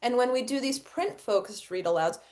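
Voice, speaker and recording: A middle-aged woman presents an educational webinar in a fairly high voice. Her pitch moves in a lively, expressive way as she explains her points, often asking a question and then answering it. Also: moderate pace. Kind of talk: instructional webinar presentation with acted read-aloud examples.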